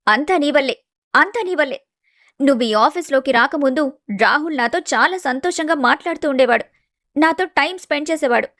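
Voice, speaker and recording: A woman's voice: sits high, a bit bright, no echo, studio quality. A woman voicing a jealous, scheming young office rival in a story drama, defiant and accusatory. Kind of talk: dramatized family story voice acting.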